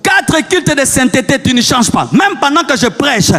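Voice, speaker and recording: A man's voice: very high voice, big pitch swings, moderate pace, pushed and bright. A man with a Congolese French accent preaching a fiery sermon, exhorting his listeners with insistent repetition and rhetorical questions. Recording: long hall reverb, music underneath.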